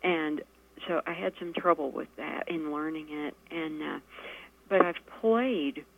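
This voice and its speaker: A woman with a fairly low voice, talking in a measured, casual way as an interview guest and often pausing on fillers. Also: vocal fry. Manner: measured, frequent fillers, laughs easily. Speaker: guest musician and poet. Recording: lo-fi radio recording.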